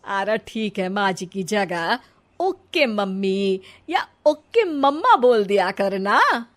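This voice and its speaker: A woman's voice: mid-range voice, very animated, slow. A woman voicing a cheerful, bossy, modern-minded village mother-in-law in a Hindi cartoon story, breezy and instructing.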